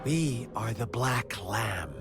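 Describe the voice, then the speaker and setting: A man voicing a taunting, playfully menacing video game villain with theatrical, teasing, drawn-out delivery over music. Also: medium pitch, expressive. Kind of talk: dramatic video game voice acting.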